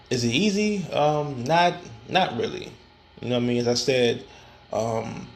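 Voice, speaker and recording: A man with a gravelly, mid-pitched voice talks straight to his listeners on his own podcast. He often stalls and repeats words as he goes. A faint hiss sits under the recording. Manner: frequent fillers and restarts, stammering repetitions. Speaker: podcast host. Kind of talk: casual solo podcast talk.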